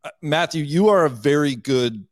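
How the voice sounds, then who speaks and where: A man's voice: on the high side, animated, moderate pace, no echo. Dry-sounding male podcast host. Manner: conversational, self-deprecating questions. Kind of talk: casual interview.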